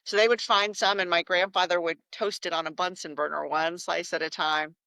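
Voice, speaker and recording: A woman talks as an interview guest, her voice bright and forward with a gravelly edge of fry. She tells her stories in a reflective, conversational way. The sound is close and dry, with no room behind her. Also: lowish voice, steady tone, fairly quick, no room sound. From United States. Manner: reflective, warm storytelling with some restarts.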